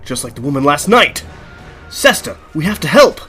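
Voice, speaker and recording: A man's voice: on the high side, very animated, moderate pace, fairly bright tone, soft background music. An actor playing a practical young male adventurer in a fantasy audio drama, casual and direct. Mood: urgent.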